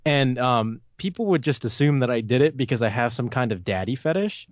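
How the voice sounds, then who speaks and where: A man of twenty talks as a guest on a casual podcast, blunt and informal. His pitch is average for a man. The sound is very dry and a touch dull. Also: animated, a little fast, no room sound. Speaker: podcast guest, video maker.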